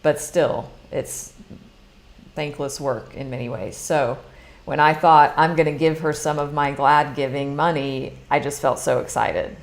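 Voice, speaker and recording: A middle-aged woman from the United States talks in a casual, confessional way about her own habits. Her voice sits low, and she often restarts a sentence mid-thought. She sounds like a coach chatting live. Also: steady tone, unhurried. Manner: chatty, rambling, many restarts; earnest, confessional. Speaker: money mindset coach. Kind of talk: casual live talk, personal story.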